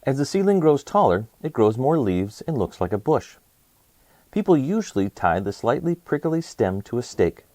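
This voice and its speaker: A man's voice: medium pitch, sing-song, normal speed, dark tone, no room sound, studio quality. Man reading to kids with swooping intonation. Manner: clear, simple phrasing addressed to children. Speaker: children's read-aloud host. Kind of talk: educational picture book read-aloud for kids.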